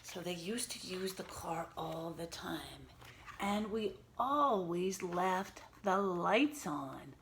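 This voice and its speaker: A woman teacher reading a picture book aloud to young children, pausing to explain words and ask simple questions in an instructive way. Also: on the low side, animated, fairly bright tone, a little hiss or hum.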